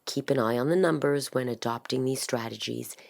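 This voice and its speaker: Very low-pitched woman narrator. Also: brisk, creaky, a little mellow. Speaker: voice-over artist. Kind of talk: scripted explainer narration.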